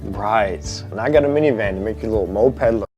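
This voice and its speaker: Male actor as supportive teasing brother, slightly low voice, casual banter, radio ad dialogue, faint music behind. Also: brisk, dull audio, natural voice. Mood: affectionate banter. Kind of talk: acted radio advertisement dialogue.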